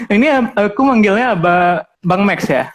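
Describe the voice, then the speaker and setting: A man's voice: high voice, lively intonation, slow. A man in his twenties hosting a podcast in casual chat, talkative and laughing often. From Medan, Indonesia. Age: 20s. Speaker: podcast host.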